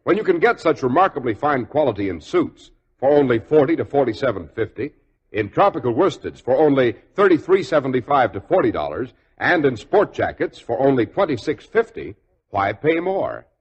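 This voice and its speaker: A man's voice: medium pitch, sing-song, moderate pace, slightly rough, dull audio. A man announcing on 1940s radio with an emphatic, salesmanlike delivery. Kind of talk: 1940s radio advertising and narration.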